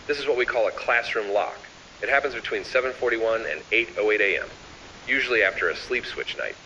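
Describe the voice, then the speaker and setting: Ominous low-pitched male horror narrator, lo-fi. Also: pushed and bright. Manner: ominous, deliberate, measured. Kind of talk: scripted analog horror narration, addressing the listener. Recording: dull lo-fi, distant, hissy.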